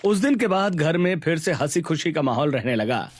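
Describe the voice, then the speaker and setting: A man's voice: a little high, sing-song, normal speed. A man narrating a scripted cartoon family story.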